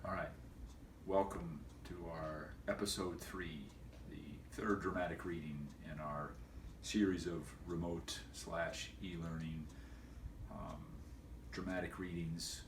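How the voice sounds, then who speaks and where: A man speaking as a teacher and host of a homemade video for students, in a folksy, wordy, deliberate way. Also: sits low, steady tone, echoey room, background noise. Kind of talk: casual educational vlog for students, with read-aloud.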